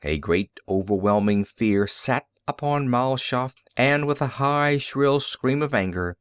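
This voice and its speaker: A man reading science fiction as an audiobook narrator, in a dramatic, deliberate storytelling manner. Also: mid-range voice, animated, clean tone, no echo, studio quality.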